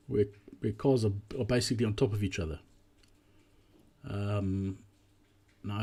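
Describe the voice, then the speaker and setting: A man narrating a walkthrough presentation in a measured, explanatory way. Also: mid-range voice, mellow, husky.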